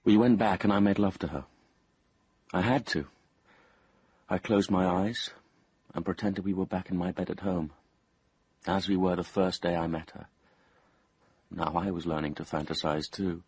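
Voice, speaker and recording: An actor playing an anxious, lovestruck young man with a troubled conscience in a radio drama, his voice husky. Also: deep voice, steady tone, moderate pace, pauses often, a little mellow, no echo. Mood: brooding confession. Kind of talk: radio drama acting and first-person narration.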